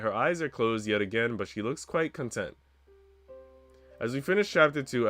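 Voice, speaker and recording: A man reads story narration from a visual novel for a stream. His voice sits a little low, and game music plays behind him. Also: fairly bright tone. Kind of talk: narration read aloud.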